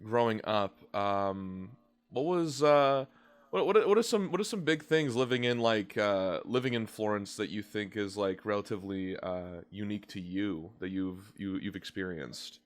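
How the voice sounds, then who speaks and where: A man's voice: lowish voice, moderate pace. A man in his twenties hosting a casual podcast, rambling and hesitant with many restarts as he asks his questions. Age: 20s. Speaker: podcast host.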